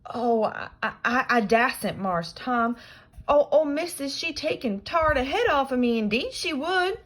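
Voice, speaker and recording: Woman reading a nervous young servant: high, loud, stammering, slow, storybook read-aloud. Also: sing-song. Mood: fretful. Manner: fretful, exclaiming, hesitant.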